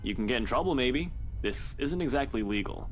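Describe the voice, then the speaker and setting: Actor as male police officer in a story game: young, blunt, casual, average-pitched voice. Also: animated, brisk, soft background music, natural voice. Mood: dry, cautious. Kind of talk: visual novel game acting.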